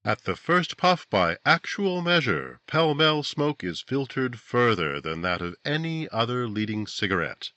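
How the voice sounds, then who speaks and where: A man's voice: medium pitch, very animated, pushed and bright, dry recording. A man narrating dramatically in the style of a 1950s radio drama. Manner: dramatic, measured second-person storytelling. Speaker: narrator and announcer.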